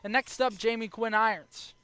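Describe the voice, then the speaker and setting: A man's voice: high-pitched, steady tone, moderate pace, pushed and bright, smooth, no echo. A young American man calling baseball play-by-play as a live broadcast commentator. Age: young adult.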